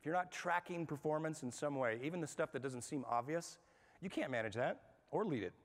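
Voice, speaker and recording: A man giving a lively workshop talk to a live audience, asking them questions and talking in a conversational way. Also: mid-range voice. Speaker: speaker at a management workshop. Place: large hall with an audience. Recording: long hall reverb.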